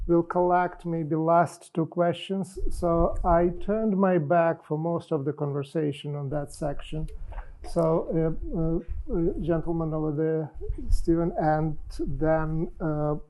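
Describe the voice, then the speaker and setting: A man's voice: a little high, fairly even intonation, slow pace, warm tone, low-quality recording. A man moderating the questions at a public talk, hesitant and full of "uh"s. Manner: hesitant, many "uh"s.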